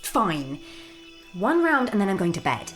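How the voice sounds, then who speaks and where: An actress playing an uptight, exasperated sister in an audio drama, snippy and prone to swearing. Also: female voice, mid-range voice, big pitch swings, measured pace, a bit bright, soft background music. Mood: grudging, pleading.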